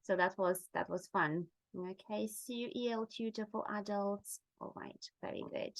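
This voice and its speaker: A woman's voice: mid-range voice, unhurried, no echo. A middle-aged woman whose first language is Bulgarian, presenting an online webinar in a friendly way with many ums and uhs. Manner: friendly, with frequent fillers.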